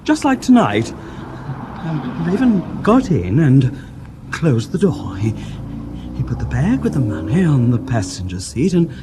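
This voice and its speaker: An actor playing a soft-spoken male clairvoyant who talks haltingly and stammers in a radio drama. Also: medium pitch, big pitch swings, over music. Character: hesitant male stage clairvoyant.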